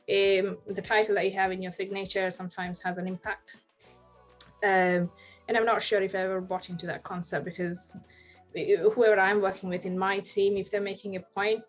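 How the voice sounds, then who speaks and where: A woman in her thirties speaks as a guest over a video call from home. Her pitch stays level and even, and she talks earnestly, often restarting sentences as she hunts for words. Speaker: podcast guest. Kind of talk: casual video-call interview. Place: home room.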